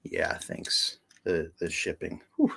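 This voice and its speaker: A man's voice: low-pitched, very animated, slow, gravelly, fairly bright tone, slight background noise. A man co-hosting a casual livestream, explaining the products plainly and teasing his co-host with dry jokes.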